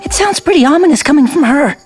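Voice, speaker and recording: An actress voicing an excitable, naive young boy soldier in a video game, exclamatory and puzzled. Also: high-pitched, fast, loud music behind the voice. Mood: confused.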